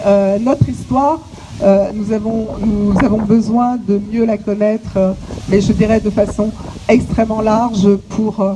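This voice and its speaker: A woman from French Guiana, an exhibition curator, giving an opening speech outdoors to visitors, pausing often with hesitations and restarts. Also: medium pitch, noisy background. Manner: halting, many hesitations and restarts. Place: outdoors on an island site.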